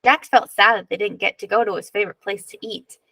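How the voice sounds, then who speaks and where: A woman reading a children's picture book aloud in measured, expressive narration, drawing out key words. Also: medium pitch, no echo.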